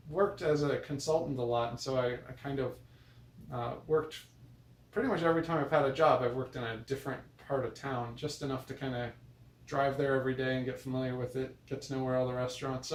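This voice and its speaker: A man's voice: mid-range voice, fairly even intonation, a little fast. An American man talking in a casual, rambling vlog style, hesitant and often correcting himself as he goes. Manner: hesitant, meandering, self-correcting. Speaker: video blogger. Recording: roomy, distant mic with noise.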